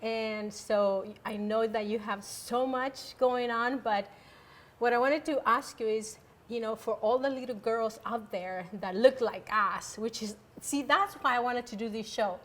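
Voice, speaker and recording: A woman's voice: a little high, measured pace. A woman hosting a casual interview show, talking at length with many fillers and restarts. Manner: rambling, many fillers, warm toward the guest. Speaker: host.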